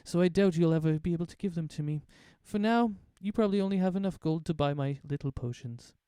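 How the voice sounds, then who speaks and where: A man's voice: on the high side, fast talker, dark tone, clean tone, no echo, clean studio sound, pitched above the performer's own voice, close to an everyday voice. A man voicing an eccentric, forgetful male potion seller in a tabletop game, talking in a chirpy, theatrical way.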